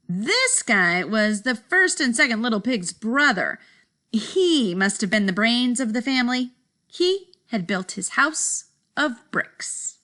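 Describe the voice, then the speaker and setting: Female children's story reader, expressive, bright forceful tone, unhurried delivery. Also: a little high. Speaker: school principal reading aloud. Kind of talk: expressive children's picture-book reading.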